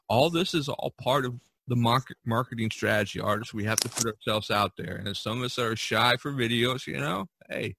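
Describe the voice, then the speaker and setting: A man hosting a casual podcast conversation, speaking in a halting way and restarting phrases as he steers the talk. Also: mid-range voice, vocal fry, pushed and bright. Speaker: podcast host.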